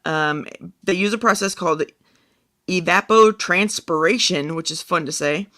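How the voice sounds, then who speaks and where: A man's voice: high-pitched, moderate pace, sharp tone. A man co-hosting a podcast, relaying things in an informal way and thinking aloud as he goes. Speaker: podcast co-host. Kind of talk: casual chat, relaying a science story.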